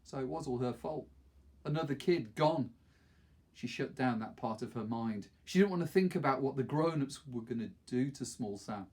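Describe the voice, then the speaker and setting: A man in his fifties narrates a story aloud. His voice is husky and a little rough, with an expressive storytelling delivery. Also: medium pitch, normal speed. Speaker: teacher reading aloud. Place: small wood-panelled study, indoors.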